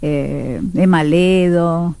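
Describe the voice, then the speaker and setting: A woman's voice: lowish voice, extremely slow, gravelly, warm tone, smooth. A middle-aged or older woman with a Buenos Aires accent, chatting casually as a guest in a radio interview. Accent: Argentine, Buenos Aires. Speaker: guest, voice actress and radio drama producer.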